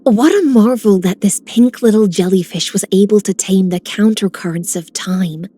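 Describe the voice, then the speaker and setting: An actress playing an elegant, worldly game character with a languid, poetic delivery. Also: female voice, medium pitch, moderate pace, warm tone, husky, natural voice. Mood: charmed. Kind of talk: scripted game character lines.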